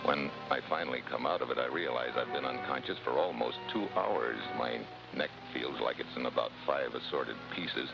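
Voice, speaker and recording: An actor with an American accent playing a brusque, sardonic special agent in a vintage TV adventure. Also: male voice, very deep voice, normal speed, gravelly, few pauses, music in the background. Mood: terse narration. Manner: clipped, dry, sardonic. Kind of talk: 1950s TV adventure acting with noir narration. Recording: muffled old TV soundtrack, heavy noise.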